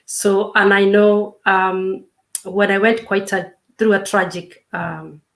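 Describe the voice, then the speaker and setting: A woman in her fifties, a coach, speaking as an interview guest in a measured, reflective way with frequent pauses and restarts. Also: mid-range voice, fairly even intonation, vocal fry. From Kenya. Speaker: guest, self-discovery coach. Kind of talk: casual interview chat.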